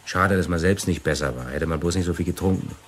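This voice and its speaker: Man playing a breezy, deep-voiced office bachelor. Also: quick pace, natural voice. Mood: wry, weary. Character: flippant, lovestruck bachelor male intelligence clerk. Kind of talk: German radio play acting.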